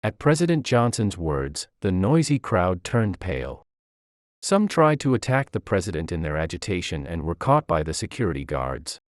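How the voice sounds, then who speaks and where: Deep guy narrating a story, animated intonation, really dry sound. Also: normal speed, no room sound. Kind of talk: scripted first-person story narration.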